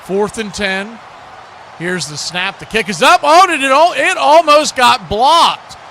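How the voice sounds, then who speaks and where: A man with a high, bright voice calls live football for a stream. He moves between play-by-play and casual chat with folksy turns of phrase. There is some noise behind his voice. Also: very animated, unhurried.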